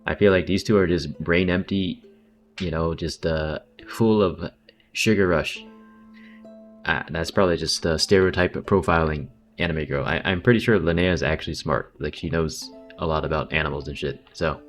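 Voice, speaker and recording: A woman's voice: extremely low pitch, fairly even intonation, moderate pace. A woman giving casual, slangy, joking livestream commentary over a game, with some swearing. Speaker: game streamer. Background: faint game music.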